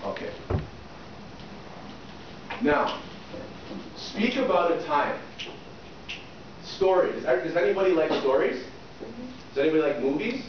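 A man's voice: on the high side, animated, takes it slowly, pauses often. A middle-aged man teaching a job interview workshop to a small class, often checking in with "okay?" and questions to the room. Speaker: soft skills trainer. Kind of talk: interview-prep workshop talk. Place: small classroom with a projector screen, indoors. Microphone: far camera at the back of the room. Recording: distant, echoey, muffled room recording with noise.

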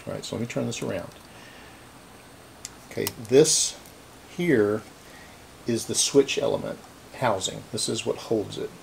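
Male repair host, low voice, halting unscripted workbench explanations, some room noise behind him. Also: vocal fry, low-quality recording. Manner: halting, thinking aloud while working. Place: indoor cluttered electronics workbench.

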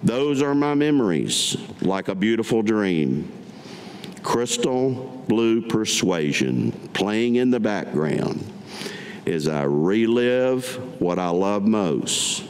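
An older man from Kentucky giving a staged reading of his own memoir writing, in measured, deliberate phrases. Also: lowish voice, very animated, a little mellow. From Kentucky, United States. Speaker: veteran reading his own written piece. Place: public meeting room, at a podium. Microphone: podium gooseneck microphone. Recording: echoey hall with background noise.

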